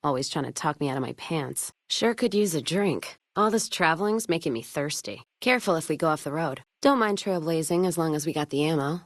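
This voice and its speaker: Game companion role: tough American wasteland woman, low register, dry sound, gruff and sardonic, foul-mouthed drinker with folksy slang. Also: animated, brisk, hardly any pauses, no room sound. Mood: sardonic, wary, then wry, easygoing. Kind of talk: video game companion voice lines.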